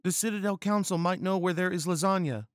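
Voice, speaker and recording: A man acts out a serious woman first officer in a comic space story, pitched well up. She is dutiful and stiffly formal, with lively swings in a dry recording. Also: brisk, dry recording, close to an everyday voice.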